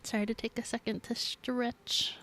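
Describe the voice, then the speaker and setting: A woman's voice: on the high side, flat delivery, normal speed, bright, forceful tone, faint music behind. A woman streamer chatting casually with viewers while she plays a video game. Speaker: live streamer playing a game. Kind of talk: casual gaming stream chat.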